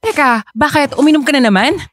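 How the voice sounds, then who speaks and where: A woman's voice: high voice, a little fast, close to an everyday voice. An actress playing a distressed woman confronting her drunk partner in a radio drama, pleading and then accusing him sharply. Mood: worried, pleading.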